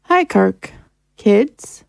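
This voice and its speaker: A woman's voice: medium pitch, sing-song, extremely slow, creaky, no room sound, studio quality, full character voice. A woman playing an anxious, insistent wife and mother in an audio drama. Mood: tense.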